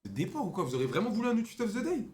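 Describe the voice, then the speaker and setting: A man's voice: sits high, huge pitch swings, very fast, a little mellow. A young adult man, a streamer, bantering and mocking a stranger in slangy casual chat.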